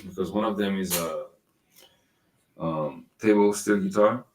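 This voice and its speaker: A man's voice: low voice, measured pace, a little mellow, poor audio. A man co-hosting a podcast, chatting casually in a rambling, profane, laid-back way. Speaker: podcast co-host. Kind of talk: casual chat.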